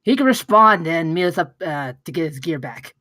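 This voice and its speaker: A woman's voice: low voice, animated, normal speed, bright, forceful tone, dry recording, studio quality, full character voice. A woman playing a frightened, defensive gamer dad who pleads and protests in exasperation at a comedy table read. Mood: casual, self-justifying.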